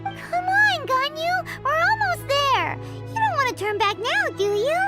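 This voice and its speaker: Squeaky tiny fairy sidekick. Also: female voice, very animated, unhurried, over loud music, full character voice. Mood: chirpy. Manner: bubbly, blunt, eager. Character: tiny chirpy female fairy companion, cheeky. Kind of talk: video game voice acting.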